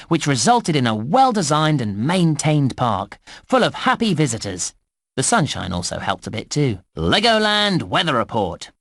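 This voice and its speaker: A man's voice: a little high, a bit bright, no echo, clean studio sound. A man voicing a cheerful, encouraging theme park guide, narrating a children's game in a scripted, presenter-like delivery. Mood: cheery announcing.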